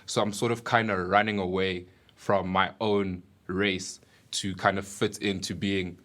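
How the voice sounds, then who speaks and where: A man gives an interview in a plain, matter-of-fact way. His voice is very deep. It stays flat, with almost no rise or fall. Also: unhurried. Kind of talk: documentary interview.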